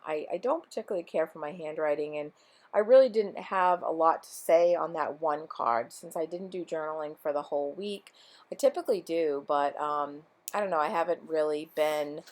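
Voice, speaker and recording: A woman with a voice she says is going hoarse, chatting casually through a scrapbooking tutorial with lots of ums. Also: low voice. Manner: rambling, chatty, many ums. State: losing her voice. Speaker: scrapbooking vlogger. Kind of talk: casual craft tutorial chat.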